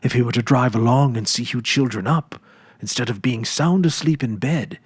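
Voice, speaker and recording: Man voicing a soft, husky teenage farm girl. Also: medium pitch, moderate pace, dry recording, lightly acted. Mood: gently firm. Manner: soft, patient, gently firm. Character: gentle, sighing sixteen-year-old farm girl, caring elder sister.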